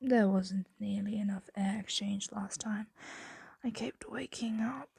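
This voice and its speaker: A woman voicing a possessive, doting female dragon in an intimate ASMR roleplay, speaking softly and deliberately and often slipping into a whisper. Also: mid-range voice, steady tone, creaky, slightly rough, dry recording, exaggerated voice. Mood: musing.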